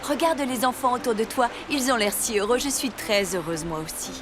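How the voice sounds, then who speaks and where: A woman playing a devout, gentle wife and mother of biblical times in a dubbed animated Bible story. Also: fairly high pitch, fairly quick, music in the background, lightly acted. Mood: joyful, hopeful.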